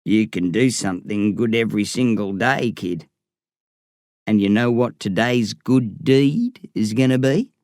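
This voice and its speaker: A man voicing a wry, plain-spoken old man in an audiobook, with a slow folksy drawl. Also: mid-range voice, a little mellow, no echo, clean studio sound, natural voice. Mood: wry, steady. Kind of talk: audiobook character voice.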